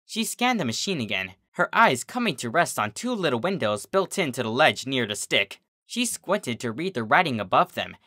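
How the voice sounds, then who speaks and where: An expressive storyteller reads comedic fiction in a bright, forward voice. The pitch moves around in an animated way. The sound is close and dry, with music playing underneath. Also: moderate pace, hardly any pauses, dry recording. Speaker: story reader. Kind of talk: scripted story narration of a comedy fan fiction.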